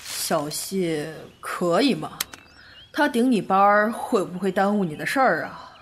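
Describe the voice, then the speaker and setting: An actress playing an older female hospital director, measured and sceptical, in an audio drama. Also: mid-range voice, animated, slight background noise, close to an everyday voice. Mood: doubtful, conceding.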